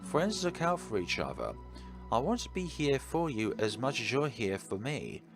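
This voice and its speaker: A man voicing an earnest teenage girl club president in a visual novel, anxious and quick to blame herself. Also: medium pitch, big pitch swings, over music, pitched above the performer's own voice. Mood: caring. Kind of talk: visual novel character read-aloud.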